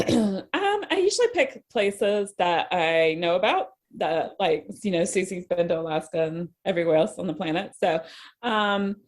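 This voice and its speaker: An American woman, a novelist guest, answering casually in an interview and piecing her thoughts together with hesitant pauses. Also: lowish voice, lively intonation.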